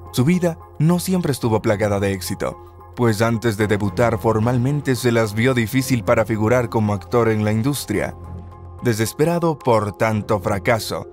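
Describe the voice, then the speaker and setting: Man narrating over faint music. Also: mid-range voice. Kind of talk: scripted countdown narration.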